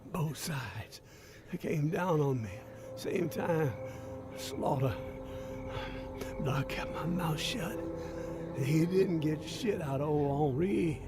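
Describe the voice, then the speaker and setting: An actor playing a battered, dying male survivor in a video game, weak and short of breath, pleading bitterly in halting, breathy speech that breaks into whispers. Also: a little high. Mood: bitter, defiant. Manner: halting, labored, swearing, pleading. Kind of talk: dramatic video game acting. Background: music.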